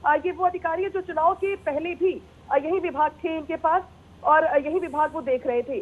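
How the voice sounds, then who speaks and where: A woman news reporter giving a live report in an explanatory, halting way with repeated words. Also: high voice. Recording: tinny narrow phone line.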